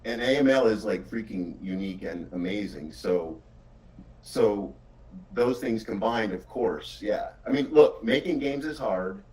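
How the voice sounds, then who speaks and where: A man's voice: fairly low pitch, expressive, unhurried, darkish tone, far from the mic, rough recording. A middle-aged man chatting casually and informally as a game developer, with an enthusiastic, encouraging way of talking.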